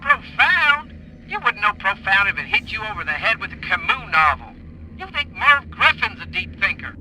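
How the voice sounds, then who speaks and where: An actor playing a sarcastic, indignant astronaut who bickers and mocks in a comic video game. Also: male voice, high voice, very animated, normal speed, sharp tone, a little hiss or hum, heavily acted, poor audio. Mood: mocking, irritated. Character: scornful, bickering male astronaut, mocking and irritable.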